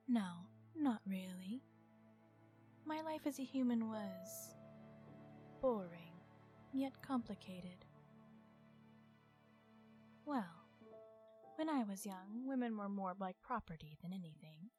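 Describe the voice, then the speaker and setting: A woman voicing a centuries-old vampire in an ASMR roleplay, stern, irritated and possessive, with a measured, formal and often hushed delivery. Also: medium pitch, expressive, soft background music. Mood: stern, composed.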